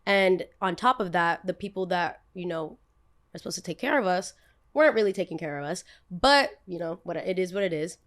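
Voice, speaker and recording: A young woman with a New Jersey accent hosting a podcast, telling stories in an animated, emphatic way with quick asides. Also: lowish voice. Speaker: podcast host. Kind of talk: casual chat.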